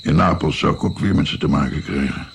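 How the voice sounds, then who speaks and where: Gravelly, deep-voiced old storyteller man. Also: fast, a little hiss or hum. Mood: wry, reminiscing. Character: old musician-physician recalling his naive youth to a young woman. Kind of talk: radio play storytelling.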